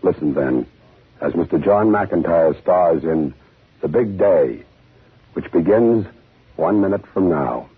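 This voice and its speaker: Man, radio host, low rough voice, scripted 1950s introduction, narrow-band recording. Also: lively intonation, slow, long pauses.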